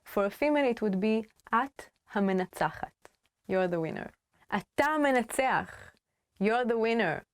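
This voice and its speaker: A woman's voice: a little high, lively intonation, normal speed, no room sound. Playful woman teaching in a dry, close recording. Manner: playful and upbeat, with frequent laughs. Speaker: language teacher. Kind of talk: video language lesson.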